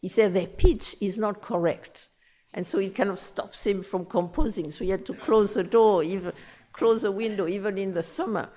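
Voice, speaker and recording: Soft-toned woman with a French accent. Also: mid-range voice. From France. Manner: gentle, explanatory, repeats phrases, slow in places. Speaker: meditation teacher. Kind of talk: dharma talk. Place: meditation center.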